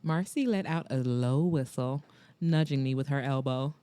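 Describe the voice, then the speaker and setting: A woman narrating a romance audiobook in first person, with a measured, intimate delivery on a close studio mic. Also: a bass voice, mellow, smooth, no room sound.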